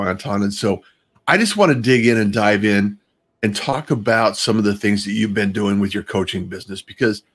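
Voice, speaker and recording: A man hosting a casual interview show, framing ideas in a halting, rambling way with repeated words. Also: on the low side, husky. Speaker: show host.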